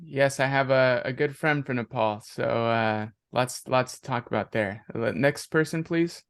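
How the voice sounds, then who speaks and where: A man's voice: mid-range voice, unhurried, gravelly, fairly bright tone, clean tone, no echo. A man leading introductions at the start of an online class, giving short friendly replies over a video call. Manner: friendly, brief acknowledgments. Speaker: course instructor.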